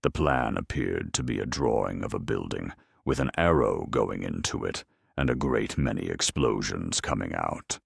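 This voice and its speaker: A man narrating a scripted dramatic story in a wry, deadpan manner. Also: rumbling low, normal speed, creaky, husky, no echo.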